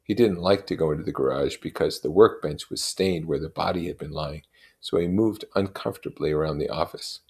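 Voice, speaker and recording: Low-voiced man reading a novel. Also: a little fast, darkish tone. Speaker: reader. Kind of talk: expressive literary narration read aloud.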